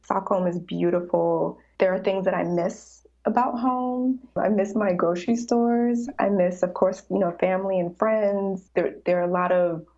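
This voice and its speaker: Woman, low gravelly voice, lots of "you know", kinda dull lo-fi recording. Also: fairly even intonation, moderate pace, few pauses, warm tone. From United States. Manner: reflective, many "you know" fillers. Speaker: podcast guest. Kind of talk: casual interview chat. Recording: dull lo-fi sound.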